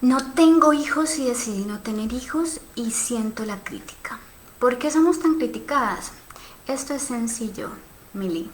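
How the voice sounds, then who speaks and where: A woman's voice: mid-range voice, a bit bright, slight background noise, low-quality recording. A woman in her thirties from Cali with a Colombian accent, hosting a casual live stream and answering viewer comments in a reflective, thinking-aloud way with many fillers and tag questions. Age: 30s. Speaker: live stream host on sexuality.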